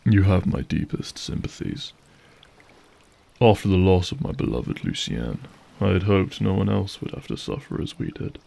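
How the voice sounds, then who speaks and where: A man's voice: low voice, very animated, moderate pace, creaky, warm tone, full character voice. A man playing a courtly, aristocratic monster lord in an audio drama, speaking with formal, gracious courtesy. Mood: solemn, gracious.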